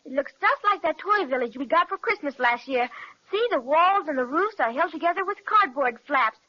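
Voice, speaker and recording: A woman's voice: high voice, animated, normal speed, bright, forceful tone. A performer voicing a spirited, curious little girl in a 1930s radio serial, chatting eagerly. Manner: chatty, eager child talk. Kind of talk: 1930s radio drama acting. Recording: dull, lo-fi old radio recording.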